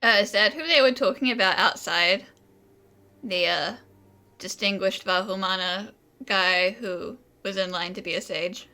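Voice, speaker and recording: A woman's voice: medium pitch, unhurried, sharp tone. A woman giving casual, wry, amused commentary as she plays a game. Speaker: game streamer.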